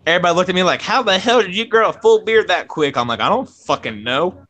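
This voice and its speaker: A man's voice: high voice, expressive, fast, sharp tone. A young man co-hosting a live stream over a video call, chatting casually, joking and swearing freely. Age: young adult. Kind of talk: casual chat.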